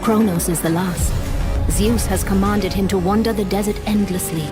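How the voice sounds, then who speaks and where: Woman as regal goddess guide, mid-pitched, solemn. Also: steady tone, moderate pace, natural voice. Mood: solemn, guiding. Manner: solemn, formal, instructive. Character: regal, calm goddess woman guiding a warrior. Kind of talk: dramatic video game voice acting. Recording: music behind voice.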